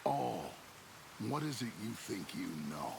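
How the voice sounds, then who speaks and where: An actor playing a sly, evasive male covert operative with a gravelly, cagey way of talking in a video game cutscene. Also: medium pitch, very animated, unhurried, exaggerated voice. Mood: sly, guarded. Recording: hiss and rain behind voice.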